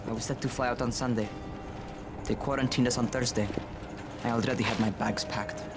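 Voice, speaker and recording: A man's voice: medium pitch, steady tone, fairly quick, over loud music, natural voice. An actor playing a restless, frustrated young man, reckless and eager, in a video game. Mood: downcast. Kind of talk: naturalistic video game acting.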